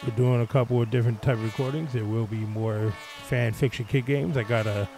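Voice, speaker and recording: A man with a mid-range voice talks casually over a noisy game recording. He hosts in an informal style, part commentary and part fan fiction reading, with children's game sounds behind him. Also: medium pitch. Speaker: host of a gaming channel. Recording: clearly noisy, game audio underneath. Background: children's video game sounds.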